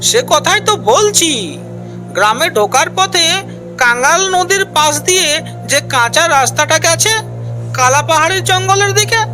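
A narrator doing a high-pitched gossiping village elder. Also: expressive, normal speed, bright, forceful tone, soft background music, exaggerated voice. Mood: suspenseful. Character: older village man, gossipy and concerned elder. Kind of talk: animated village folk tale dialogue.

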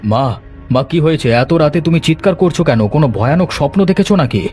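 A man's voice: fairly high pitch, lively intonation, fairly quick, a little mellow, faint music behind, lightly acted. A man voicing a concerned grown son in a horror cartoon, speaking in a soothing, reassuring manner. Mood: alarmed concern.

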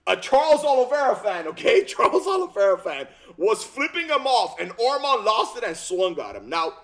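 Animated slangy man with lively high voice. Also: normal speed. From Armenia. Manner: animated, slangy, repeats himself, frequent "bro" and "okay?". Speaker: YouTube commentator. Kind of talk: casual reaction commentary. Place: home gaming room, indoors. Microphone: headset.

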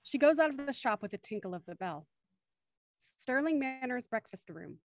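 A woman's voice: fairly high pitch, big pitch swings, moderate pace, no echo, clean studio sound. A woman reading scripted scene narration for an audio drama. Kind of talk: scripted narration of stage directions.

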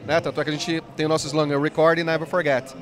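A man's voice: fairly high pitch, level tone, crowd noise. A man in his forties, an app company founder, explaining his product eagerly in an interview at a trade fair, speaking into a handheld mic. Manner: fast, enthusiastic explainer with many "né"s. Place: busy indoor expo hall.

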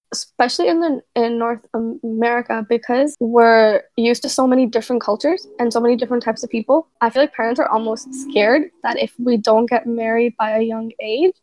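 A woman's voice: on the high side, a little mellow, no room sound. Thoughtful woman with a dry, close voice. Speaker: podcast guest. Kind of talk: casual podcast discussion.

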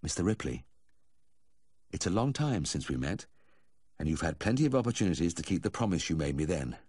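A male narrator voices a country vet in a storybook, firm and earnest. He speaks in measured questions with very varied pitch, close and dry. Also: big pitch swings, a little mellow, husky, no room sound. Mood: quiet, reproving.

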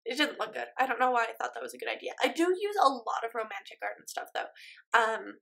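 A woman's voice: on the high side, expressive, quick pace, creaky, pushed and bright. A young woman with a stuffy nose, chatting casually over her game footage in a rambling way, full of ums and likes. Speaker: Sims speed-build YouTuber.

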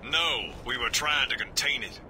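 An actor playing a grave, guarded soldier-priest in a video game, explaining in a solemn, earnest way. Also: male voice, medium pitch, moderate pace, noisy background, natural voice. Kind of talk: video game cutscene acting.

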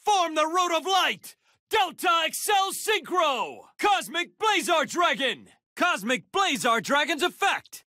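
A man's voice: extremely high pitch, very animated, sharp tone, dry recording, shouting, studio quality, heavily acted. An actor playing a heroic, determined young male card duelist in an anime video game, with intense, dramatic declarations. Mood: fierce, triumphant. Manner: intense, dramatic declarations, drawn-out attack calls.

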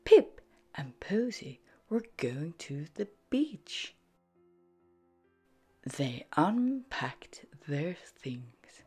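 A woman reads a storybook to small children over music. Her pitch moves in lively sweeps, and her voice is breathy. She takes it very slowly, in an animated, sing-song style. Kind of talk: expressive scripted storybook read-aloud for young children. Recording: background music under the voice.

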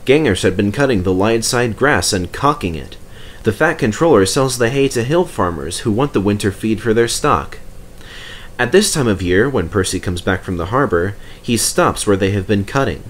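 A man's voice: lowish voice, expressive, moderate pace, slight background noise. A man reading a scripted children's story aloud as narrator. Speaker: storybook reader.

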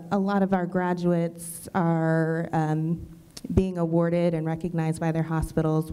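A woman on a college panel stage talks about her nursing students in a measured way with many ums. Her voice is low and flat. It sounds mellow and smooth. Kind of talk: panel discussion talk.

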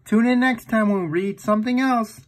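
A man's voice: high-pitched, normal speed. A man hosting a children's storytime, reading a picture book aloud in a playful, exaggerated way and putting questions to the listener.

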